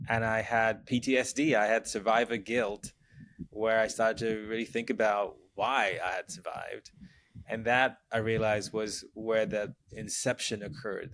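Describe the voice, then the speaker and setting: A man in his forties from Sri Lanka, a guest speaking from home in a measured way, often saying "you know". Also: lowish voice, a bit bright, a little hiss or hum. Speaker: guest, brand consultant. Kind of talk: casual interview chat.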